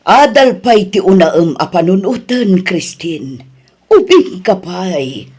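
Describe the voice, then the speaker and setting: A woman with an Ilocano accent playing a middle-aged mother, soft with her son and stern with her daughter, in an audio drama. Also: fairly low pitch, sing-song, slow, husky, full character voice. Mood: scolding, upset.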